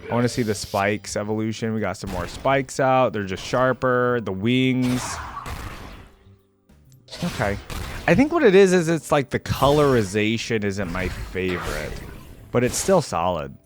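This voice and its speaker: A man's voice: medium pitch, lively intonation, unhurried. A man hosts a casual gaming reaction. His intonation moves up and down freely as he thinks aloud in an informal, exclamatory way. Faint music plays behind his voice. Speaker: video game reaction host.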